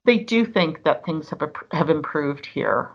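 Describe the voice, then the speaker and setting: A woman's voice: deep voice, sing-song, measured pace, vocal fry, muffled recording. A woman reporter telling what she learned from her interviewees in an earnest, emphatic way. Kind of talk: conversational reporting.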